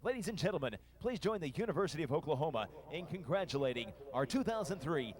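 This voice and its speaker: A man's voice: on the high side, very animated, quick pace. A man making a formal announcement over a stadium public address system. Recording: distant stadium PA amid crowd. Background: stadium crowd.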